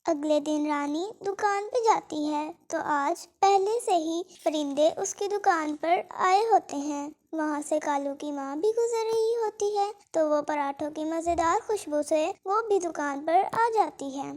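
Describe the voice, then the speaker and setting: Female storyteller for children's cartoon: very high pitch, reading scripted narration. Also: measured pace, few pauses, a little mellow.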